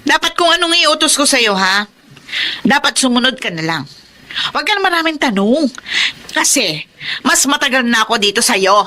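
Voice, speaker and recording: A woman's voice: sits high, very animated, moderate pace, long pauses, bright, forceful tone, heavily acted. A woman playing a bossy, spiteful housemaid in a radio drama, snappish and taunting, with a Filipino accent. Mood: bossy, irritated. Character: bossy, spiteful housemaid woman pulling rank over newcomer.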